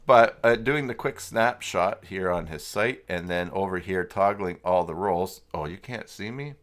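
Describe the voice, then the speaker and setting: Low-voiced man hosting a casual tech stream. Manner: halting, informal, thinking aloud. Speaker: livestream host demonstrating website tools. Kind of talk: casual live software tutorial, chatting with viewers.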